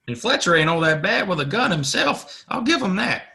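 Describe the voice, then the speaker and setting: A man acting a good-natured, nervous American cowboy sidekick in a Western audio play, folksy and excitable with a bit of a stammer. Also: high voice, fairly quick, gravelly, full character voice. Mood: eager, teasing.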